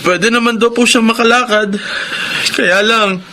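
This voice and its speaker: High-pitched young filipino delivery boy, polite n plaintive, radio drama, noisy background. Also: fairly even intonation, a little slow, bright, forceful tone, full character voice. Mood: humble, plaintive. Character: humble, tearful young delivery boy, devoted son. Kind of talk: Tagalog radio drama acting. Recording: clear background noise.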